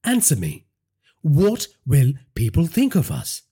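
Man doing a distraught wife, shrill, high, husky. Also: huge pitch swings, slow pace, warm tone, full character voice. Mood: angry.

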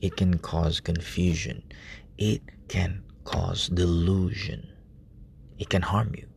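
A man preaching softly at night in a relative's room, speaking in a hushed, halting way with many fillers. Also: deep voice, steady tone, creaky, a little hiss or hum. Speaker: lay preacher. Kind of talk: informal late-night sermon.

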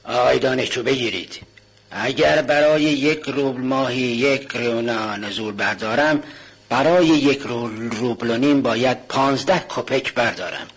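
A man's voice: mid-range voice, husky. A man doing a greedy, stern old pawnbroker woman. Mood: calculating. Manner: curt and haggling, dry and stingy. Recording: dull lo-fi recording.

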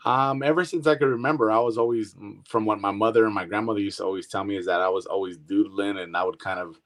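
A man from Northern California, a guest artist in a casual interview, relaxed and hesitant, with many ums. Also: fairly low pitch, quick pace.

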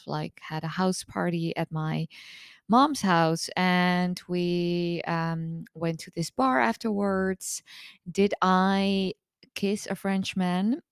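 A hoarse woman in her thirties who has lost her voice, a coach hosting her own podcast in a candid, chatty way. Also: lowish voice, dry recording, clean studio sound. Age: 30s. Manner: candid, chatty, open-book. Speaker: podcast host and eating disorder recovery coach. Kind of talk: casual solo podcast monologue.

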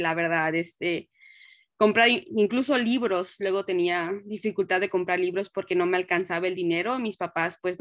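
A young woman from Mexico speaks with a Mexican accent as an interview guest. She is earnest and reflective, with a mid-pitched voice in a dry, close space. Her answers are conversational, with an occasional English word slipped in. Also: fairly bright tone, dry recording. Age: young adult. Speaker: interview guest, nurse and researcher.

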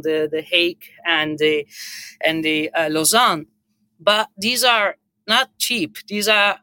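A woman's voice: on the low side, sharp tone, no room sound. A middle-aged woman with a Turkish accent speaking as a hotel executive in an unscripted panel discussion, earnest and emphatic, repeating words for emphasis. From Turkey.

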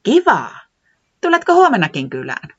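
Nainen esittää iloista, vieraanvaraista säämiestä korkealla äänellä tuhkaisessa äänitteessä. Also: female voice, fairly high pitch, huge pitch swings, a bit bright, breathy edge, dull audio, voice pushed up, exaggerated voice. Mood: beaming. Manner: friendly, beaming, hospitable. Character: cheerful hospitable weather man, eager and chatty.

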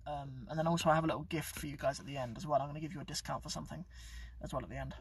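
A man's voice: a little high, fairly even intonation, very fast, slightly rough, distant mic. A young man hosting a casual live stream from inside his car, joking and rambling with frequent self-corrections. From England. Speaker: YouTube channel host.

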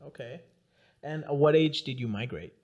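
A man's voice: medium pitch, moderate pace, a little mellow, lo-fi sound. A young adult man hosting a podcast in casual interview chat. He laughs often and talks loosely, with restarts and asides. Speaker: podcast host.

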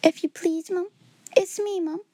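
A woman's voice: extremely high pitch, fairly even intonation, a little slow, mellow, pitched above the performer's own voice. A woman voicing a timid young scullery maid girl who pleads through sobs and drops letters as she talks. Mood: pleading.

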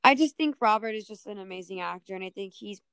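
A woman's voice: mid-range voice, expressive, fast talker, a bit bright, clear, resonant tone, dry recording, studio quality. A woman co-hosting a podcast, talking casually about a TV series. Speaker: podcast co-host.

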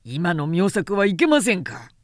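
A woman's voice: on the low side, very animated, measured pace, no room sound, clean studio sound. A woman voicing a boisterous, confident male warrior general with emphatic, old-fashioned speech in an audiobook reading. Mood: boastful, persuasive.

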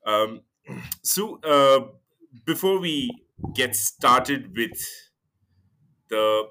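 A man hosting a podcast, reading a scripted introduction and then asking polite, somewhat halting questions with fillers. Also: medium pitch, lively intonation, bright, forceful tone. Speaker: podcast host. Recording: guitar music playing under the voice. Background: guitar music.

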